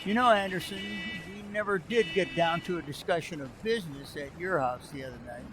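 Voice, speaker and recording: A man's voice: high-pitched, fairly quick, background noise, lightly acted. A man playing a genial, patronizing older businessman in a vintage-style radio comedy, stammering and hesitant in an avuncular way.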